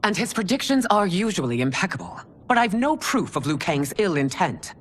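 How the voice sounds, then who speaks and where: A woman's voice: medium pitch, big pitch swings, moderate pace. A woman playing a stern, regal, mature empress in a video game, speaking formally and with command. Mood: firm. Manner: formal, imperious. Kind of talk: dramatic video game acting.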